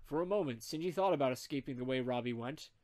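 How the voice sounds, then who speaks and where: A man narrating fan fiction in an amateur comedic group reading, animated and dropping wry asides. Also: medium pitch, fast.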